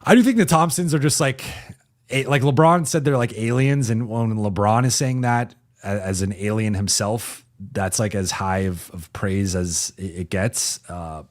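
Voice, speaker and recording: A man hosting a casual basketball livestream, talking loosely with many restarts and reading viewer comments, his voice going a bit raspy as he talks. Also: lowish voice, moderate pace. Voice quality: raspy when talking for a while. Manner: loose and conversational, frequent restarts, reads viewer comments aloud. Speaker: sports reporter and channel host. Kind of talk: casual live-chat basketball analysis. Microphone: home streaming mic.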